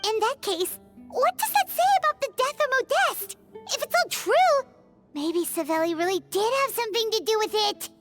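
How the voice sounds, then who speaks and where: A woman voicing a tiny, chirpy floating companion in a game, who speaks in an inquisitive, lively way. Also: very high voice, moderate pace, soft background music. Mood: curious. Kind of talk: game dialogue voice acting.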